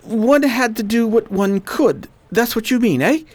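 Woman as worn-down male plague fighter, low and dry, animated intonation, amateur radio drama. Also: a little slow. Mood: weary. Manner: low, tired, wry. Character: weary Oxford-educated man fighting plague.

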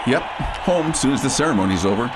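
A man's voice: lively intonation, quick pace, natural voice. A man playing a confident, folksy space captain in a radio play, slangy and emphatic. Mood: breezy. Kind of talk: amateur radio theater acting. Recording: noisy, constant rumble behind.

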